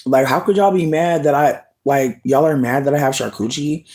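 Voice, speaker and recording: A person livestreaming casual chat with viewers, sassy and expressive with lots of swearing, talking while snacking. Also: medium pitch, brisk.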